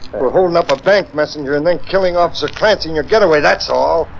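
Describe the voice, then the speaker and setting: An actor playing a tough, trigger-happy policeman with gruff, bullying slang in an old radio crime style. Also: on the high side, brisk. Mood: scornful. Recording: dull old radio recording, faint noise.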